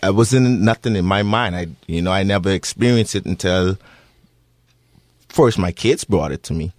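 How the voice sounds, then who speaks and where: A man in his thirties with a Bahamian accent, answering interview questions earnestly with many pauses and restarts. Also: sits low, a little mellow, dry recording. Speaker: interviewee, parent.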